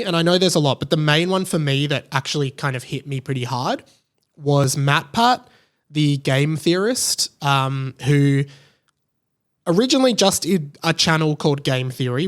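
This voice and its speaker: A man hosting a podcast solo, giving casual commentary in a conversational way, thinking aloud and repeating words for emphasis. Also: a little high. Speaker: podcast host.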